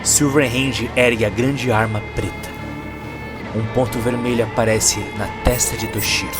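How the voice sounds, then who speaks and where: Male narrator for cyberpunk audio fiction, average pitch, dramatic scripted read over a music bed. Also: fairly even intonation, normal speed. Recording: music behind the voice.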